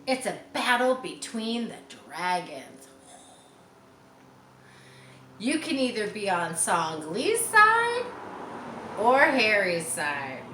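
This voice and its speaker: A woman voicing a bossy, eager schoolgirl making loud playground announcements in a children's storybook. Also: medium pitch, big pitch swings, slow, exaggerated voice. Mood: loud announcing. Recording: roomy echo, distant mic, faint noise.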